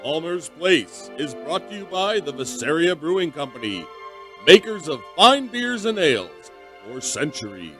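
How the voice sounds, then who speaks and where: Theatrical radio announcer, highish male voice. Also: very animated, unhurried, a bit bright. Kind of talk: old-time radio show announcing. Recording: music under the announcement.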